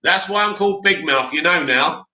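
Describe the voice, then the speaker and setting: A man hosting a live stream, reading out viewer comments and answering them in a loud, opinionated way with lots of restarts. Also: fairly high pitch, normal speed. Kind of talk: casual live chat. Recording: echoey room.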